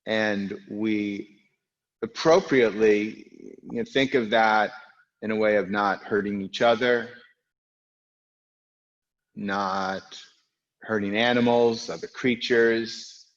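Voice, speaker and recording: A man guiding an online yoga class, with a loud, strong voice, calm and reflective, speaking in unhurried phrases with pauses. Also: fairly low pitch, lo-fi sound. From United States. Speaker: yoga teacher. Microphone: recorded for an online class video.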